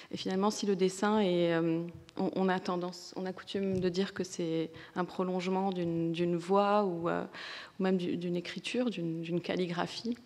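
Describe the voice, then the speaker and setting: A woman's voice: fairly low pitch, vocal fry, darkish tone. A woman from Lebanon in her thirties to early forties, speaking on a conference panel, hesitant and full of fillers and restarts. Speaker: comics author on a panel. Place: lecture hall. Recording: reverberant lecture hall.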